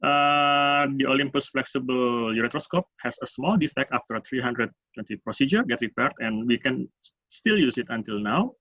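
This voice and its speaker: A man with an Indonesian accent giving a medical lecture as a urologist, speaking in halting, hesitant phrases. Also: mid-range voice, pushed and bright. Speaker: urologist presenting at a medical webinar. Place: online webinar. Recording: dull, roomy webinar audio.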